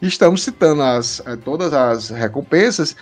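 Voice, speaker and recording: Male podcast host, very animated intonation, higher register, casual and chatty. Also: normal speed, soft background music. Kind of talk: casual podcast chat, explaining supporter tiers.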